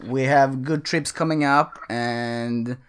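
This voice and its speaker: A man's voice: medium pitch, slow. Easygoing male podcast host. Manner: relaxed, repeats himself, says "man" a lot. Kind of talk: casual podcast chat.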